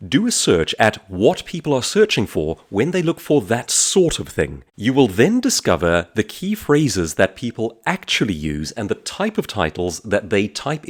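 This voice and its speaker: A man with a low voice delivers a motivational coaching monologue. His pitch moves dramatically, rising on questions and dropping on key words. The phrasing is emphatic and deliberate, like a speaker presenting points one at a time. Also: sing-song. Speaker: author and speaker presenting a business advice talk.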